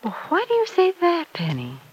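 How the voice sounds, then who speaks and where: The wise, teasing American mother of a daytime radio serial, coaxing her grown child toward romance in lilting, animated tones. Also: very high-pitched, normal speed, highs cut off, heavily acted. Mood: coaxing, insistent. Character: fond, teasing, romantically minded middle-aged mother. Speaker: radio drama actress. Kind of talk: old-time radio soap opera acting. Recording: vintage radio transcription.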